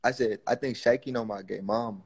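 A laid-back young man from Houston talks low with a gravelly, husky edge. He mumbles through a phone video call that sounds dry. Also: fairly even intonation, a little fast, no room sound. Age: young adult. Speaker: livestream guest. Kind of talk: casual livestream chat.

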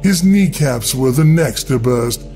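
A man narrating a scripted horror story in a deliberate, theatrical, dramatic way. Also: mid-range voice, expressive, darkish tone. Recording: music bed under the voice. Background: music.

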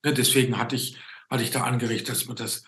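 A man, interviewing conversationally in a halting way, often adding a questioning tag. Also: mid-range voice, fairly even intonation, a bit bright. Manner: halting, often tagging sentences with a questioning 'ne?'. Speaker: interviewer and show host. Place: indoor room at home, on a video call. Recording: roomy, echoing video call.

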